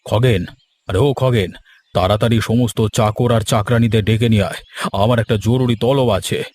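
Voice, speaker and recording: A man's voice: on the low side, steady tone, normal speed, a little mellow, natural voice. A man playing a short-tempered, threatening village master and father in a cartoon, with a scolding, imperious way of talking. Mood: stern, annoyed. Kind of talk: cartoon voice acting.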